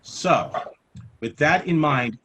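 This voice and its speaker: A man's voice: on the high side, expressive, takes it slowly, sharp tone. A middle-aged American man moderates an informal meeting with jocular, mock-formal courtesy. He speaks into a headset on a video call, with faint noise and clicks. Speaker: club meeting chair. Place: small room at home.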